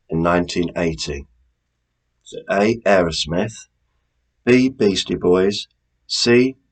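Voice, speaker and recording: A man hosting a quiz, reading out questions and answers in a deliberate, measured way with informal asides. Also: sits low, poor audio. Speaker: quiz host.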